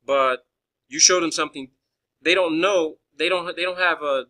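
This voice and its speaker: A man's voice: a little high, normal speed, sharp tone. A man arguing in a phone conversation as a caller, pressing his points insistently and often restarting his phrases. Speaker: caller questioning a space agency official.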